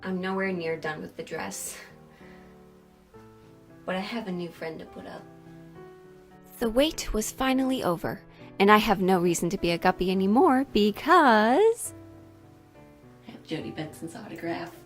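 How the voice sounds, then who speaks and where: A woman's voice: medium pitch, expressive, moderate pace, long pauses, faint music behind. Casual, candid woman vlogging a sewing project. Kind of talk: casual vlog chat and project narration.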